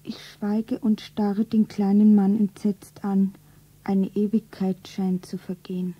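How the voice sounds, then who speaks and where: An actress playing an anxious, self-admiring young woman in a radio drama, with breathy, deliberate storybook diction. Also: medium pitch, flat delivery, no room sound, highs cut off. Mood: shocked. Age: young adult. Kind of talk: stylized radio drama narration.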